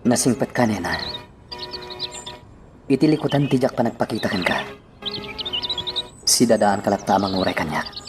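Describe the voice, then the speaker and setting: A man's voice: on the low side, lively intonation, moderate pace, pauses often, far from the mic, music in the background, lightly acted. A man playing an earnest, reassuring sweetheart in a sentimental radio drama. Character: earnest, reassuring male sweetheart with risky plans.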